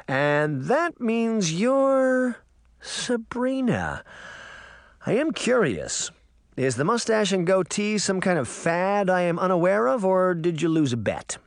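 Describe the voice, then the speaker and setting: A man's voice: sits high, big pitch swings, slow, no echo, clean studio sound, pitched above the performer's own voice, heavily acted. A man voicing a breezy, teasing uncle in a storybook, playful and familiar. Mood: teasing, friendly. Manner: playful, ribbing, casual. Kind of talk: audiobook character voice.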